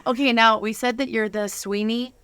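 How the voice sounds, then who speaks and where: Bright, bubbly woman hosting. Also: a little high, normal speed, smooth, no echo. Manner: bubbly, excitable, jokey. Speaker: interview host. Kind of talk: casual upbeat studio interview. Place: studio.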